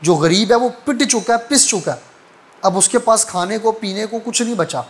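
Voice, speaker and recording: A man's voice: high voice, moderate pace. A man with a Pakistani accent presenting news commentary.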